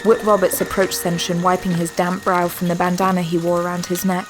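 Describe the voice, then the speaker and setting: A woman's voice: fairly low pitch, level tone, brisk, noisy background. A woman narrating an audio drama from a script, as a storyteller between scenes. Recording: clear ambient sound behind.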